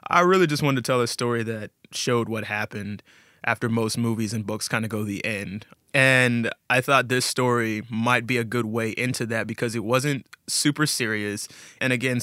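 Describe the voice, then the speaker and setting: Bright, clear-voiced man chatting candidly. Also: medium pitch, dry recording, clean studio sound. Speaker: guest storyteller and writer. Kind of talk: casual interview conversation.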